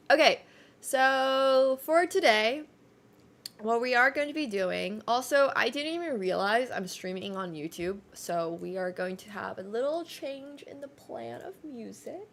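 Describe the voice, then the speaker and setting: A woman's voice: medium pitch, creaky, a bit bright. A young woman whose first language is Chinese, chatting casually on a livestream, rambling with lots of fillers and self-corrections. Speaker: streamer.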